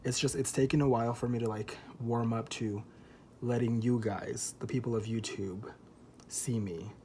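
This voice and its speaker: A man's voice: medium pitch, fairly even intonation, moderate pace, darkish tone, a little hiss or hum. Man vlogging a candid confession. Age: 20s. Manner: open, rambling, self-conscious, often swears. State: feels tipsy.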